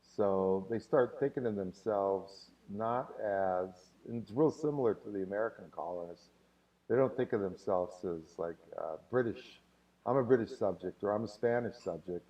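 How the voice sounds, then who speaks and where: Low-voiced man teaching history casually. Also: moderate pace, warm tone, far from the mic, low-quality recording. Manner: casual, rambling, with many filler words. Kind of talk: live-stream history lesson, answering viewer questions.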